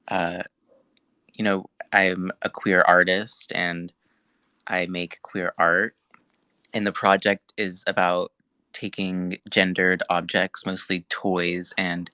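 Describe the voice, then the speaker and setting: Soft-spoken young man with a deep voice. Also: clear, resonant tone, no room sound. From Texas. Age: young adult. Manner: soft-spoken, hesitant, with pauses. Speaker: guest artist. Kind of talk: casual conversation.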